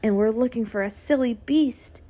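A woman's voice: on the high side, normal speed, mellow, natural voice. A woman voicing a playful, curious little tiger boy in a children's storybook, with giggly, childlike wonder.

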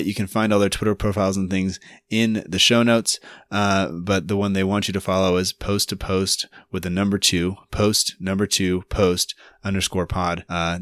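A man in his thirties with a notably low voice hosts a casual podcast, speaking in an easygoing, rambling way full of ums and restarts. The sound is close and dry, with no room behind him. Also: dry recording. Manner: relaxed, rambling, many fillers. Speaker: podcast host. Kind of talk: casual podcast interview and intro.

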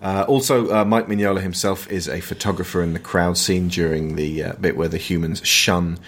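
A man hosting a conversational film discussion, emphatic and opinionated, sometimes halting as he searches for words. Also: deep voice, steady tone. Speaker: podcast host.

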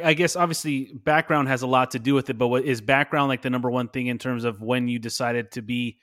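Male podcast host, halting questions, plenty of uhs. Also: medium pitch, fairly bright tone. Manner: hesitant, lots of "uh" and restarts while asking questions. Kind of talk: interview questions.

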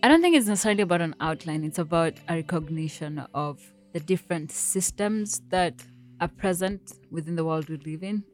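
Lowish-voiced woman co-host. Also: expressive, a little fast, darkish tone, soft background music. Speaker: podcast co-host. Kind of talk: casual podcast chat.

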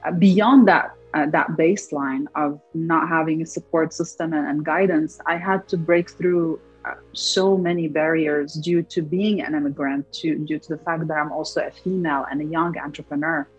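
A woman's voice: low-pitched, steady tone, normal speed, slightly rough, highs cut off, soft background music. A young woman from Lebanon, an entrepreneur answering interview questions in an earnest, reflective way, often repeating words as she searches for them. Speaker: entrepreneur guest.

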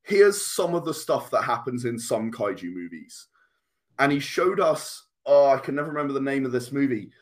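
A man's voice: medium pitch, animated, normal speed. A man speaking as a guest game designer in a casual interview, explaining in long, enthusiastic runs.

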